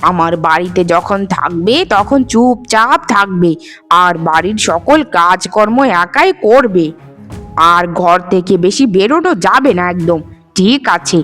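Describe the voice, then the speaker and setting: A woman's voice: mid-range voice, lively intonation, a little slow, fairly bright tone. A woman voicing a domineering, scornful middle-aged mother in a melodramatic cartoon, bossy and scolding. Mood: stern. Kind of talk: Bengali cartoon dubbing, melodrama. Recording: music behind the voice. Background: background music.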